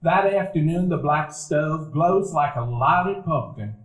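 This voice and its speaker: A man narrating a live stage radio play in a warm, reminiscing storytelling manner. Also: on the high side, takes it slowly. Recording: echoey room, live audience.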